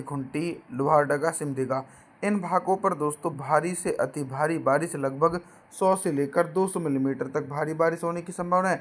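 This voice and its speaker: A hoarse man presenting a regional weather forecast, steadily listing place names and addressing viewers as friends. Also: a little high, a bit bright.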